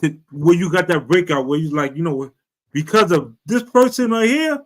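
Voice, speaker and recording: A man's voice: fairly high pitch, animated, moderate pace, a little hiss or hum. Man asking a loose casual question. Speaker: interviewer. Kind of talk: casual livestream interview.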